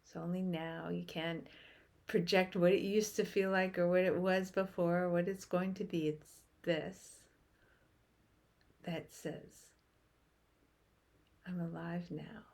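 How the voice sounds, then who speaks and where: A woman leading a guided meditation in a soft, hushed voice that trails toward a whisper, with long pauses between short phrases. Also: lowish voice, little pitch movement, gravelly.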